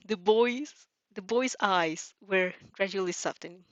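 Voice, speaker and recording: A dry, slightly dull recording of a woman reading fiction aloud. She is expressive and giggly, tripping over the odd line and dropping into casual reactions. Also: medium pitch, unhurried, no room sound. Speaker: reader reacting to fan fiction.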